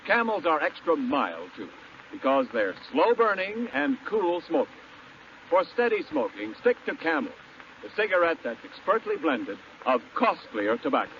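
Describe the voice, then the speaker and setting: A male radio announcer reading a 1940s sponsor pitch in an emphatic, salesmanlike way. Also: wildly swooping pitch, moderate pace, creaky. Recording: noisy, muffled 1940s radio broadcast.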